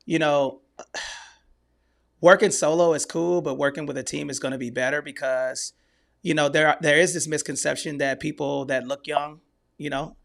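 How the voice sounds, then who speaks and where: A man's voice: fairly high pitch, fairly even intonation, normal speed, creaky, a bit bright. A man hosting a podcast interview, enthusiastic and speaking straight to listeners. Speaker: podcast host.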